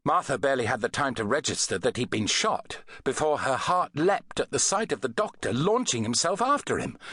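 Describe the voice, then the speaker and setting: A man reading an adventure novel as an audiobook narrator, in an expressive, dramatic storytelling manner. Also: a little high, no room sound, clean studio sound.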